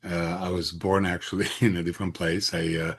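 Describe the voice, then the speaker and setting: A man in his sixties from Romania, with a Romanian accent, answering interview questions conversationally in halting phrases with frequent pauses. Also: low voice, little pitch movement, fairly bright tone, slight background noise. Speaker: interview guest, entrepreneur.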